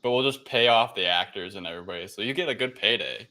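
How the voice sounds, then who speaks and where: A man's voice: lowish voice, fast, pushed and bright. A man co-hosting a casual film discussion in an informal, sardonic way. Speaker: podcast co-host. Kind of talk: casual chat, film review.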